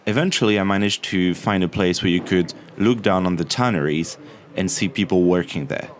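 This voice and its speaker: A young man telling about his travels in a relaxed, chatty way, with lots of small fillers. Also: low-pitched, moderate pace. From Morocco. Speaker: travel vlogger. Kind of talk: casual travel vlog narration.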